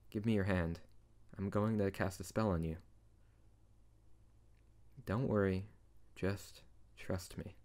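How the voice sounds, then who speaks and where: Casting: male actor as deep-voiced wizard boyfriend, tsundere, teasing yet tender, for intimate ASMR roleplay. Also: darkish tone. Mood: calm, reassuring.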